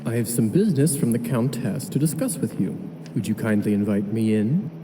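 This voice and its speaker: An actor playing a suave male vampire hussar in a video game, smooth-talking and mock-courteous with an impatient edge. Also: fairly low pitch, animated, fairly quick, background noise, lightly acted. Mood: suave, coaxing. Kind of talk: scripted video game voice acting.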